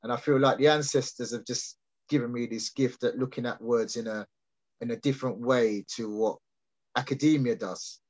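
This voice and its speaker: A man's voice: mid-range voice, steady tone, normal speed. Hesitant man on a muffled call. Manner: hesitant, many restarts and fillers. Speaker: guest speaker. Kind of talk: casual explanatory talk on a video call. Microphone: video call.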